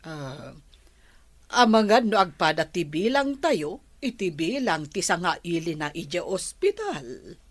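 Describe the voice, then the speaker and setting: A woman with an Ilocano accent playing an older, doting grandmother in a radio drama, speaking in a gentle, fond way. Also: fairly low pitch, big pitch swings, takes it slowly, no echo, heavily acted. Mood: worried. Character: doting grandmother, soft-spoken and fussy.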